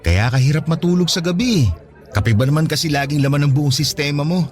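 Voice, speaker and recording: A man acting in a radio drama as an easygoing, devout young man, a patient friend who teases gently and persuades good-naturedly. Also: fairly high pitch, fairly quick, natural voice. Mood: casual. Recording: studio dialogue over faint music.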